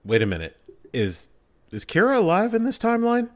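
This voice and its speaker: A man's voice: high-pitched, wildly swooping pitch, fairly quick. A man chatting casually as a podcast guest, emphatic and stammering in mock exasperation. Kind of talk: casual bantering chat.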